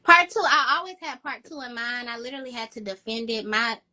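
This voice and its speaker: A woman romance author in an interview, chatty and enthusiastic, with a high-pitched voice. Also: expressive, a little fast, bright, forceful tone. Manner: chatty, enthusiastic, quotes herself with "girl". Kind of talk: casual interview chat.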